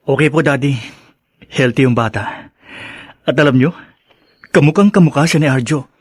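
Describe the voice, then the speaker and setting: A Filipino actor playing a kind-hearted young adult son, polite and respectful, in radio drama style. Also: male voice, mid-range voice, moderate pace, breathy edge, dry recording, studio quality, lightly acted. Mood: polite, eager.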